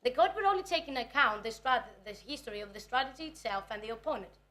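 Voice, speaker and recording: A woman's voice: on the high side, expressive, fast talker, fairly bright tone. A young woman from Greece, a native Greek speaker, giving a nervous, chatty conference talk about research. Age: 20s. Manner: nervous, chatty, self-deprecating.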